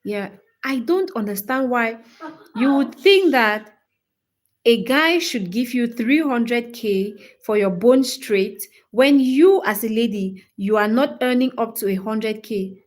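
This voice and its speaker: A young woman with a fairly high voice and a Cameroonian English accent hosts a casual live chat. She talks to her viewers directly, giving her opinions with emphasis and animated rhetorical questions. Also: takes it slowly. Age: 20s. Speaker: livestream host, English-teaching creator.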